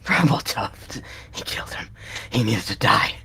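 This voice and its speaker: Evil warrior cat mentor, husky, choking, stammering, manic, pitched up in strained cries, animal roleplay. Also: male voice, expressive, unhurried, sharp tone, exaggerated voice. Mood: unhinged. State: choking, dying.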